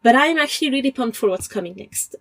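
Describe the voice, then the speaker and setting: A woman leaving a casual, expressive voice message as a listener sharing her reactions. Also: fairly high pitch, quick pace, gravelly.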